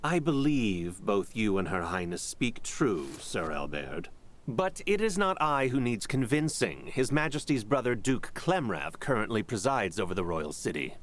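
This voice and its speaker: A man's voice: on the low side, very animated, unhurried. A man playing a stern, cautious royal official in a video game, speaking in a formal, guarded, courtly way. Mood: stern, skeptical. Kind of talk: scripted video game acting.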